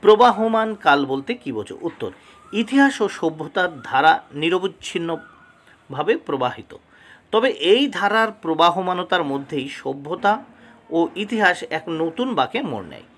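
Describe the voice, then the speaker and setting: A man's voice: sits high, very animated, normal speed, fairly bright tone. A man tutoring for history exams, reading questions and model answers aloud in a didactic way, announcing each item and repeating key terms.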